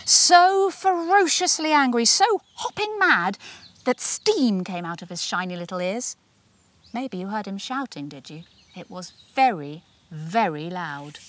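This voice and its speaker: Casting: female storyteller for picture books, medium pitch with lots of swoop, expressive and deliberate, dramatic emphasis. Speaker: author reading her own book. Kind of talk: expressive children's storybook narration.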